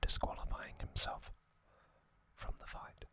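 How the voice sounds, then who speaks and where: An ASMR host whispering a recap in a breathy, unvoiced voice, in short soft phrases with long pauses. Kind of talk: whispered ASMR narration recapping an anime series.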